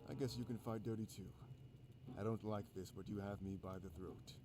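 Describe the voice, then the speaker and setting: A man's voice: lowish voice, fairly even intonation, fairly quick, noisy background, close to an everyday voice. A man voicing a grim, reluctant official in a fantasy visual novel. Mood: grudging.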